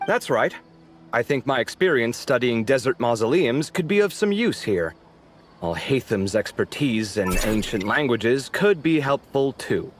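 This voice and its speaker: An actor playing an animated, sociable young man who bickers sarcastically with his roommate, in a game cutscene. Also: medium pitch, natural voice. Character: expressive sociable young man architect, easily exasperated. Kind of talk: video game cutscene voice acting.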